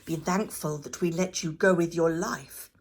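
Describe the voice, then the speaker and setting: A woman voicing a stern, haughty male high priest in a storybook, imperious and threatening. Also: steady tone, normal speed, a little hiss or hum. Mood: angry, threatening.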